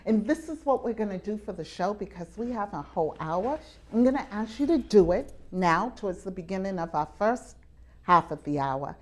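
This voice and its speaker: A woman's voice: mid-range voice, lively intonation, normal speed. A woman hosting a show with children, speaking in an emphatic, teacherly way and coaxing them with questions. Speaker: TV show host leading students. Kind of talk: talk to children before an audience. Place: college venue, taped live with audience.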